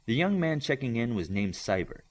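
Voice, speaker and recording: A man's voice: medium pitch, sing-song, brisk. A man reading scripted story narration as an audiobook reader.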